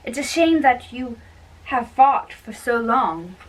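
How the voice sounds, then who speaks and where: A female reader doing an amateur dramatic play reading, a little halting, recorded at a distance from the mic with background noise. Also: fairly high pitch, lively intonation.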